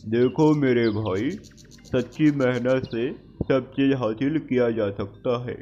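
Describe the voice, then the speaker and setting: A man's voice: mid-range voice, slow pace, warm tone, dull audio, lightly acted. A man voicing a village elder who moralises in cartoon dialogue. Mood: forgiving. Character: village men, stern older brother and elder neighbours. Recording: music behind the voice.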